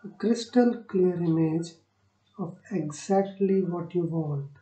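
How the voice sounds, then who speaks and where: A woman guiding a meditation in short, soothing phrases with long pauses between them. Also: lowish voice, warm tone. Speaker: meditation guide. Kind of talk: guided meditation narration. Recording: roomy echo on the voice.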